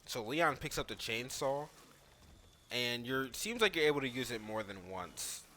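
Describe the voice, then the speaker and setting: A man's voice: mid-range voice, expressive, unhurried, sharp tone, a little hiss or hum. A man giving casual, analytical commentary on video game footage.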